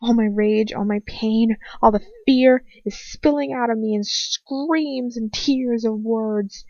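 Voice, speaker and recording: A woman's voice: a little high, takes it slowly, mellow. A woman giving a dramatic first-person reading of fan fiction, as an amateur audiobook narrator. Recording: muffled roomy home recording.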